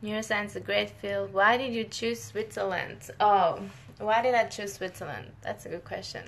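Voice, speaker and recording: A young woman from northern Italy, a scientist and native Italian speaker, hosts a relaxed live Q&A from home, restarting sentences and laughing softly. Her voice has a gravelly edge, and a faint hiss sits under the computer-mic recording. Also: mid-range voice, normal speed. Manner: friendly, chatty, many restarts and short laughs. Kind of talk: casual live-stream chat.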